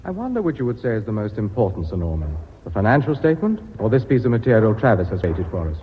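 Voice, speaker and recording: A brisk British company heir in a radio drama, genial and businesslike. He talks fast in a lowish, lively voice on a dull old recording. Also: noisy background. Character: brisk, loyal male heir running family firm.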